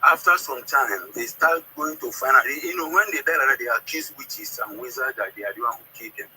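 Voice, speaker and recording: A man from southern Nigeria with a southern Nigerian accent and Ika as his first language, calling in to explain history, haltingly, repeating words and checking he is understood. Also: medium pitch, pushed and bright. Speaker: caller. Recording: noisy call audio.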